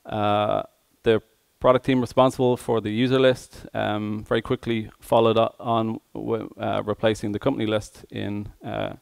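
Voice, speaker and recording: Low-pitched, flat-toned man with a gravelly creak. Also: normal speed, hardly any pauses, no room sound. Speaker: conference speaker, software engineer. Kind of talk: tech conference presentation.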